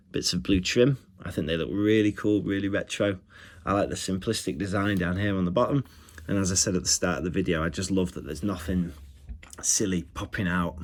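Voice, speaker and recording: A man with a fairly low voice presents a casual, hands-on review. He talks like a host to his viewers, chatty and keen on the little details. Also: a little hiss or hum. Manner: chatty, enthusiastic about details. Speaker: retro electronics review host.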